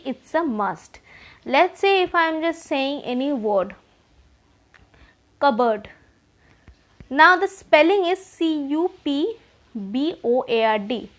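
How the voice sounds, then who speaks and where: High-voiced Indian woman teaching English. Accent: Indian English, Bengali. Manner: earnest, repeats key points, direct advice. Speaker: spoken English trainer. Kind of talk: explanatory lesson to learners.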